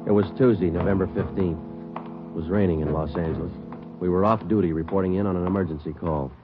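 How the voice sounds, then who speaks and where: Man acting a clipped, deep-voiced deadpan radio detective. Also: fast, natural voice. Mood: deadpan narrating. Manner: clipped, deadpan, matter-of-fact. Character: terse, world-weary male police detective sergeant, narrating his case. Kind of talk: 1940s radio crime drama acting and first-person narration. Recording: muffled old 1940s radio recording, loud music.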